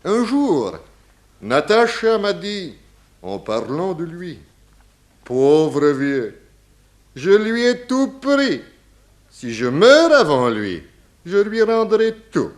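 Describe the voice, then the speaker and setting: Theatrical Russian émigré with swooping delivery. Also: male voice, sits high, big pitch swings, exaggerated voice. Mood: solemn, cryptic. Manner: slow, grandiloquent, broken grammar with dropped articles. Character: eccentric, philosophical Russian émigré man, theatrical and cryptic. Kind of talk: 1960s French radio crime drama acting.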